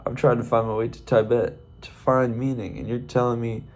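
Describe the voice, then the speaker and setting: A man voicing a blustering male rooster on a spiritual quest, exclaiming loudly. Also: mid-range voice, fairly even intonation, a little fast, pitched above the performer's own voice, lightly acted. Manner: bold, exclaims "by God".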